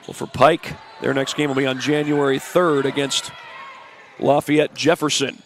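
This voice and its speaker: A congested man with the flu giving steady play-by-play of a high school girls' basketball game, adding stats and schedule notes. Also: normal speed, a little hiss or hum. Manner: steady, informative play-by-play with stat and schedule asides. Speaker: play-by-play announcer. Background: faint gym ambience, ball bouncing.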